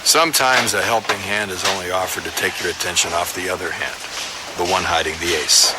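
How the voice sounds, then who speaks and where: An actor playing a world-weary police detective, narrating in a terse, hard-boiled way for a radio drama. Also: male voice, low-pitched, fairly even intonation, a little fast, very noisy, lightly acted. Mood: suspicious, knowing. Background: music.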